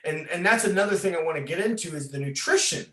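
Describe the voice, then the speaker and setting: A man's voice: high-pitched, lively intonation, fast talker, fairly bright tone. A man hosting a casual conversational interview, talking in a rambling way with many false starts. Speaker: podcast host, fitness coach interviewer. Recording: roomy, echoing sound.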